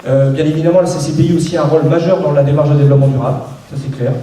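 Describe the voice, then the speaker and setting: A man's voice: on the high side, steady tone, racing, dark tone. A man giving a local campaign speech, hesitant, with many restarts and fillers. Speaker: local election candidate. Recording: echoey room, voice from far off.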